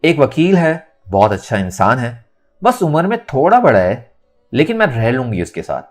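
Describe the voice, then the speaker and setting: A man's voice: mid-range voice, very animated, normal speed, faint music behind, voice pushed up. A man voicing a bold, determined young woman in an audio novel, speaking emphatically and insistently. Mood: resolute.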